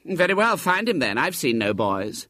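A man's voice: on the high side, very animated, moderate pace, sharp tone, no room sound, pitched above the performer's own voice. A man doing a stern, dismissive outfitter. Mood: indignant.

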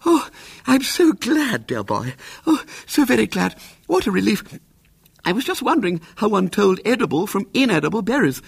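A man voicing a prim, anxious elderly aunt in a breathless, fluttery falsetto. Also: very animated, normal speed, vocal fry, husky, dry recording, heavily acted. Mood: fretful.

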